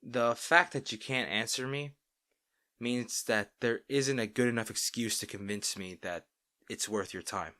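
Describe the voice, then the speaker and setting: A man's voice: mid-range voice, measured pace, pushed and bright. A man playing a caring, worried boyfriend in an intimate roleplay audio drama, earnest and pleading. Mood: concerned, serious. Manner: earnest, pleading, emphatic, with sighs.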